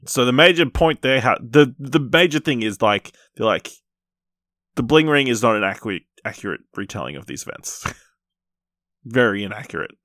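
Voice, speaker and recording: A man co-hosting a podcast, talking about films in a casual, halting, conversational way. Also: mid-range voice, expressive, fairly bright tone, dry recording, studio quality. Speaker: podcast co-host reviewing films and series. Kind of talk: casual unscripted film chat.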